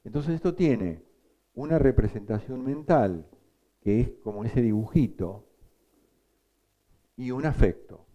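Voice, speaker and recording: Dark-voiced lecturer, man. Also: mid-range voice, animated, lo-fi sound. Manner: slow, explanatory, asks the class questions. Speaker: psychoanalysis teacher.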